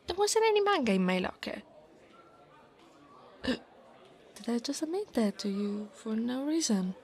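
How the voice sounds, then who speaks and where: Woman acting out a young dragon girl, unhurried delivery, haughty, insecure, defensive bully, flustered stammers, breathy near-whispers, ASMR roleplay. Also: mid-range voice. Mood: flustered, indignant. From Italy. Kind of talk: scripted ASMR monster roleplay, acting one side of a conversation.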